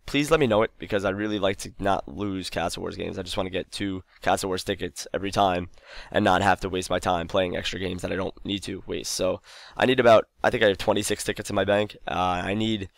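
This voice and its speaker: A young man talks loosely over his own gameplay, drifting between thoughts with plenty of fillers and false starts. His voice sits very low with a gravelly, fried edge, tired and wearing thin. The sound is dry and close, with no room around it. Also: fairly even intonation, fairly quick, no echo. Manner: rambling, loose, lots of fillers and restarts. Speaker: gaming channel host. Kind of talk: casual gameplay commentary.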